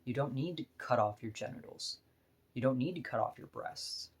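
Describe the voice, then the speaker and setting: A woman with a deep voice, talking bluntly and frankly in a candid personal vlog monologue, laughing now and then between points. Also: animated, normal speed, husky. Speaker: vlogger.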